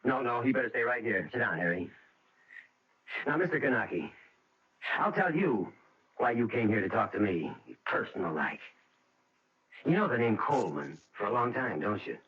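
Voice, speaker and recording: A man's voice: lowish voice, big pitch swings, normal speed, long pauses, lo-fi sound. An actor playing a stern, suspicious middle-aged American father in a radio drama, with a blunt, commanding manner. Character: tense, stern father protective and suspicious of visitor. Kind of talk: old radio drama acting. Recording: band-limited old radio recording.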